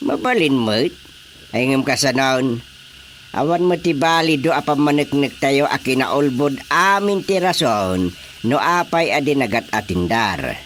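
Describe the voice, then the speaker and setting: A man's voice: mid-range voice, animated, a little slow, fairly bright tone, slight background noise. A Filipino man playing a bossy, scheming village council chairman in a comedic radio drama.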